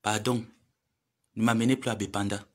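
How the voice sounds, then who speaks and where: A man's voice: fairly low pitch, level tone, moderate pace. A man, lecturing and pleading in a social media live monologue. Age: 30s. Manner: preachy, lecturing, pleading then scolding.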